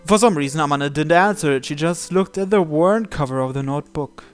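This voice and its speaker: High-voiced man reading a story aloud. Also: a little fast. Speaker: gamer reading a visual novel aloud. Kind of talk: read-aloud story narration. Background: game music.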